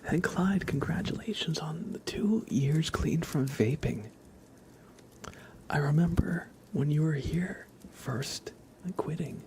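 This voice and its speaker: A man hosting an ASMR livestream, speaking softly and breathily in a gentle, soothing, unhurried way, often slipping into a whisper. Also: on the high side, background noise. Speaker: livestream host. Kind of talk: ASMR livestream, chatting to viewers.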